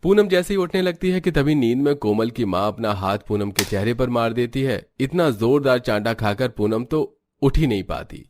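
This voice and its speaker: A man's voice: mid-range voice, moderate pace, a little mellow, faint music behind. A man reading scripted narration for a family story.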